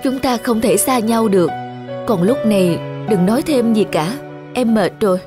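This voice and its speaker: Woman playing a gentle, pleading young mother. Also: fairly high pitch, moderate pace, close to an everyday voice. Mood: worried, pleading. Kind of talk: cartoon voice acting. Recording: music clearly behind the voice.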